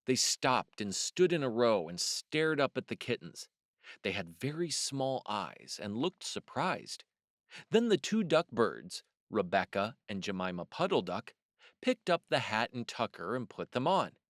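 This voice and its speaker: A man reads a children's tale in a medium-pitched voice with very animated intonation. He leans expressively on key words, like a storyteller with young listeners. The sound is dry. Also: a little slow, dry recording. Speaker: storyteller, host of a read-aloud series.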